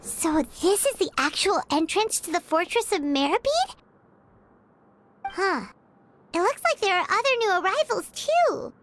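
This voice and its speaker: A woman voicing a small, childlike fairy companion in a video game, nervous, excitable and exclamatory. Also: very high voice, unhurried, a little hiss or hum, exaggerated voice. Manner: speaks of self in third person, exclamatory. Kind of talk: video game character acting.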